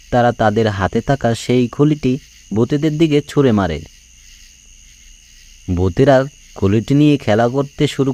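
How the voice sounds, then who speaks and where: A man's voice: medium pitch, darkish tone. A man reading scripted narration for a children's cartoon story.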